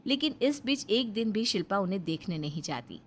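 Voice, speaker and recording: A woman's voice: mid-range voice, sing-song, a little fast, a little hiss or hum. A woman narrating a scripted Hindi family moral story as a storyteller.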